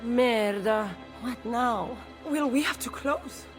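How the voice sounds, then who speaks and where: Worldly, high-voiced Italian courtesan. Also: female voice, slow pace, soft background music. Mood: worried, frustrated. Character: worldly, anxious courtesan at a Renaissance brothel. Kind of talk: video game cutscene acting.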